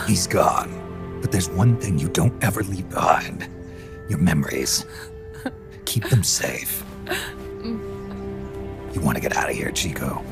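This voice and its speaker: An actor playing a gruff, stoic middle-aged soldier in a video game cutscene, terse and fatherly, with a husky growl. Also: expressive, exaggerated voice. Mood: gentle, firm. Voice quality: deep, gravelly, husky growl. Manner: terse, measured, low-key delivery. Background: music.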